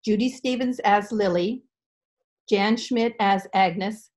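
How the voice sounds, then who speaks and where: A woman's voice: medium pitch, slow pace, darkish tone. An older woman reading scripted announcements as a radio announcer over a video call. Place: home room with bookshelves. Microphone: video call computer mic.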